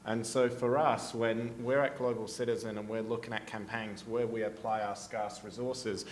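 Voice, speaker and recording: A man's voice: fairly low pitch, level tone, moderate pace, slight background noise. A man with an Australian accent speaking earnestly on a conference panel, thinking aloud and often saying "you know". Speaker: panelist, advocacy group executive. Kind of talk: panel discussion. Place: conference hall.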